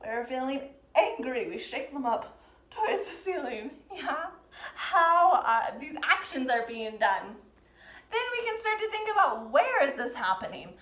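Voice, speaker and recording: A woman teaching dance to young learners, explaining and demonstrating step by step with gentle, encouraging prompts. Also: high-pitched, big pitch swings, measured pace. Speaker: dance teacher leading a children's pantomime lesson. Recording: roomy, echoing sound.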